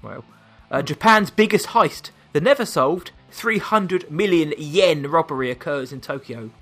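Squeaky-voiced man co-hosting a podcast. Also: animated, a little slow, a bit bright, faint music behind. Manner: chatty, reads lists of names and figures, laughs at himself. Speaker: podcast co-host. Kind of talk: casual film podcast chat.

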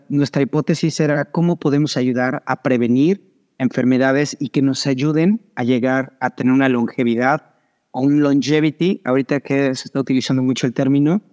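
A man's voice: medium pitch, darkish tone. A middle-aged man with a Mexican accent, giving conversational interview answers as a guest and mixing in English business terms. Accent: Mexican Spanish. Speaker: podcast guest, startup founder.

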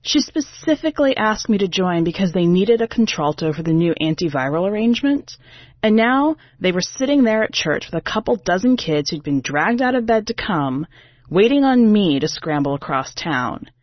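A woman's voice: medium pitch, big pitch swings, normal speed, no room sound, muffled recording. A woman narrating a short story as a brash, defensive, sarcastic female singer, in a wry, exasperated, conversational way. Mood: sardonic, confiding.

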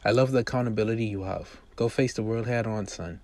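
A man's voice: on the low side, steady tone, fast, darkish tone, no room sound, lightly acted. A man voicing a mild, encouraging father in a reading of a movie script. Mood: proud. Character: mild, proud, encouraging father of a teenage son.

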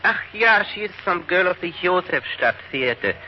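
A suave, evasive baron. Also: male voice, high voice, sing-song, moderate pace, muffled recording. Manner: suave and evasive, formal phrasing. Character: smooth, evasive middle-aged male Viennese baron. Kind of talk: 1940s radio acting. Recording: hissy old radio broadcast recording.

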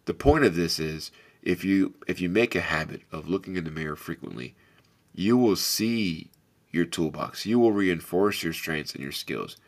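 A man's voice: deep voice, expressive, moderate pace. A man hosting a reflective motivational talk, speaking earnestly and directly to the listener in a big, carrying voice. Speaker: podcast host.

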